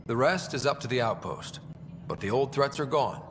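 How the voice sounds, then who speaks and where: An actor voicing a steady young male explorer leader in a video game who gives brief, level replies. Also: medium pitch, normal speed, in a large hall, background noise, natural voice. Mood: calm. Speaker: Pathfinder, player character.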